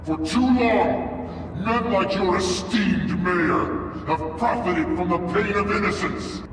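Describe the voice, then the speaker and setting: An actor playing a menacing zealot leader in a video game, giving a grandiose, deliberate speech to a city. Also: male voice, high-pitched. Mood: grandiose menace. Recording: distant, echoing hall, background noise.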